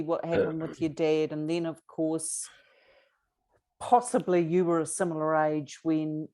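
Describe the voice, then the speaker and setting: A New Zealand woman interviews over a video call in a soft, supportive way, often restarting her sentences. Her voice sits low and she takes her time. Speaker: podcast host. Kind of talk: gentle interview chat.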